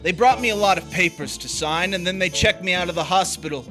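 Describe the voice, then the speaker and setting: A man plays a haunted drifter in a noir stage drama. His voice sits fairly high and has a forceful, bright edge. He confesses uneasily and haltingly, with music behind him. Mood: grim, recounting. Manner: hesitant, halting in dialogue. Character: uneasy guilt-ridden drifter, narrating his crime confessionally.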